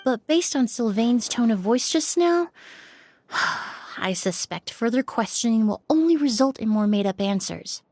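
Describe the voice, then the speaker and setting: A woman's voice: a little high, expressive, darkish tone, no echo. Composed young lady investigator, close and dry. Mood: thoughtful. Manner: measured, courteous, thoughtful. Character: polite, composed young woman forensic cleaner and perfumer. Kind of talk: video game voice acting.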